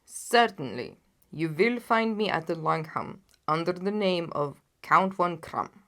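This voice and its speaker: A woman's voice: lowish voice, lively intonation, measured pace, sharp tone, exaggerated voice. A woman voicing a haughty, anxious king in a storybook, speaking in a solemn and emphatic way. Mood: earnest, commanding. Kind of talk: dramatised audiobook reading.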